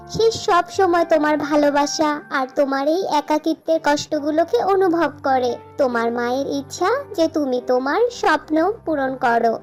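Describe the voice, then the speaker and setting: A woman voicing a kind, gentle young fairy in a fairy-tale cartoon, speaking earnestly and reassuringly. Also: high-pitched. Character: kind young female star fairy with magic wand.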